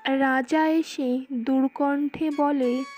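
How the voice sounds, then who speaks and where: A woman narrates a scripted fairy tale. Her voice is high and her delivery slow and measured, with music clearly playing underneath. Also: steady tone, a little mellow.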